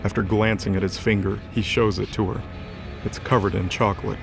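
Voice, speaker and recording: A male narrator reads scripted dramatic fiction. His voice sits low and has a gravelly fry to it. Music plays clearly behind the narration. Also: fairly even intonation, a little fast. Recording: music under the voice.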